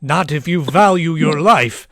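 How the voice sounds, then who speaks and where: A man acting a megalomaniac villain posing as a professor in an old-time radio play, speaking in a deliberate, grandiose, theatrical manner. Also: on the high side, fairly bright tone, dry recording, studio quality. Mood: grandiose menace.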